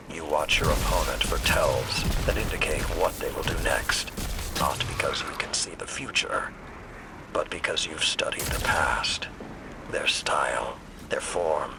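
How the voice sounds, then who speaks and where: Casting: a stern warrior with a cavernous, hushed voice, loud score behind. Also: male voice, rumbling low. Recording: game cutscene with loud music.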